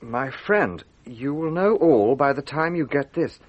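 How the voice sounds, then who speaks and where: An actor playing an earnest, impulsive gentleman who narrates a radio drama, with a husky voice. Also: mid-range voice, expressive, measured pace, lo-fi sound, slight background noise, close to an everyday voice. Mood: sombre.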